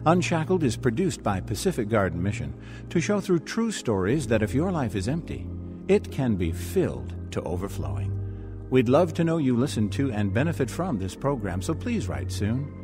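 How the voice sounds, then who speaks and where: A male radio announcer with a medium-pitched, highly animated voice reads scripted ministry messages. His delivery is earnest and deliberate, with music playing behind him.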